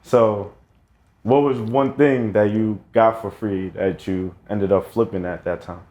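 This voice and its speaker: A man's voice: fairly low pitch, steady tone, unhurried, a little mellow, muffled recording. A man hosting a podcast interview, casual and encouraging, with many short affirmations. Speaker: podcast host.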